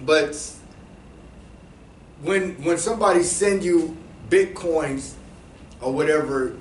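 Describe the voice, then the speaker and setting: A man's voice: fairly high pitch, slow. A man giving a casual, slang-filled talk to a small live audience, often restarting his sentences and speaking to the crowd in asides. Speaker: presenter explaining cryptocurrency to a small audience. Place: room with a small seated audience. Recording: echoey room voice from a distance, faint background hum.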